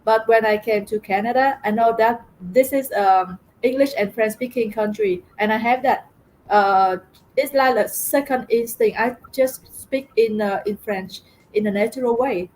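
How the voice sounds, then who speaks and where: A middle-aged woman from Vietnam with a Vietnamese accent, telling her story as an interview guest, halting with many fillers and repeated words. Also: fairly high pitch, a little mellow, a little hiss or hum, low-quality recording.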